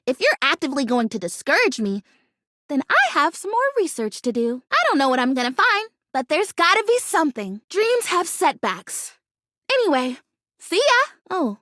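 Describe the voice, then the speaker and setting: A woman's voice: extremely high pitch, a bit bright, dry recording, clean studio sound, full character voice. A woman voicing a bubbly, naive, stubbornly hopeful fake houseplant in a video game, chatty and dramatic in her delivery. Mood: hurt, huffy. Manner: perky, dramatic, emphatic, chatty. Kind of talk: animated video game character acting.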